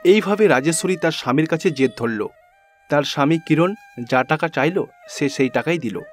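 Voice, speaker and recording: A man's voice: fairly high pitch, animated, moderate pace, faint music behind. A man narrating a scripted children's fairy tale as a storyteller.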